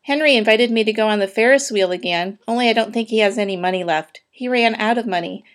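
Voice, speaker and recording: A woman voicing an eager, excitable young farm girl in a storybook. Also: a little high, fast, natural voice. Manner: eager, pleading.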